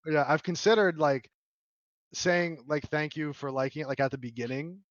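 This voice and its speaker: A man hosting a casual podcast, his voice a touch hoarse and sitting a little high. He rambles through filler words and laughs easily. The sound is dry and a bit muffled. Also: moderate pace, no room sound. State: slightly hoarse. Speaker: podcast host.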